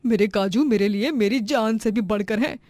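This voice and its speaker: A woman playing a domineering, greedy, theatrical middle-aged mother-in-law in an animated moral story. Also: mid-range voice, moderate pace, no echo, lightly acted. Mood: gloating, secretive. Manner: theatrical, scolding, bossy.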